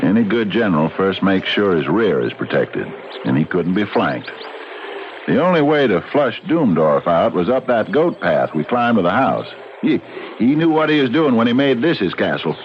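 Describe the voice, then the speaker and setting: Low-voiced shrewd frontier sheriff. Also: male voice, sing-song. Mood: wary, knowing. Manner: folksy, deliberate, probing. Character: shrewd, unhurried frontier sheriff, calmly puzzling out a crime. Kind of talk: old-time radio drama acting. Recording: dull, noisy old radio recording.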